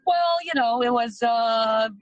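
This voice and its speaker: A woman's voice: fairly high pitch, takes it slowly, lo-fi sound, faint music behind. A woman in her thirties, originally from Romania, talking in a loose, chatty way with frequent hesitations as an interview guest. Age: 30s. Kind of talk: casual testimonial interview.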